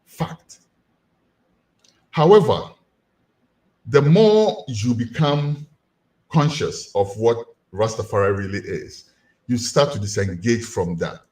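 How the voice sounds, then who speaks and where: Ghanaian-accented male lecturer, lively swooping delivery, rhetorical and animated. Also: medium pitch, takes it slowly, pauses often. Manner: animated, rhetorical questions to the audience. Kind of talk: community lecture.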